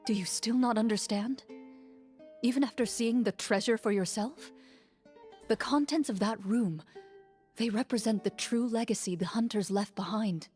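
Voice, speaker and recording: A woman playing a composed, noble veteran monster hunter in a fantasy game, speaking in a calm, measured, firm way. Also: fairly high pitch, close to an everyday voice. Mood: stern, urging. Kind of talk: scripted fantasy game dialogue. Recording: music playing under the voice.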